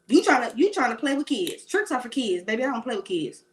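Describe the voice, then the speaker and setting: A woman's voice: on the high side, sing-song, fast talker. A woman in her late thirties giving blunt, sassy celebrity gossip commentary in a rambling rant. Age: 30s.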